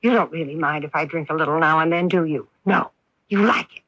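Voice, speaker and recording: A woman playing a bitter, wounded wife and mother in a 1980s radio drama, emphatic and theatrical, with deliberate pauses. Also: low-pitched, a bit bright, no room sound. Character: bitter, wounded wife and protective mother, faded society belle. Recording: dull lo-fi off-air radio recording.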